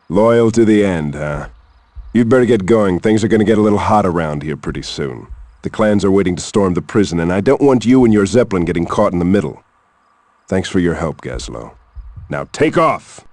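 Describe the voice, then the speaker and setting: A man playing a brave young orc hero in an animated adventure game, commanding and wry. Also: low voice, moderate pace, no echo. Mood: amused, urgent. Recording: music behind the voice.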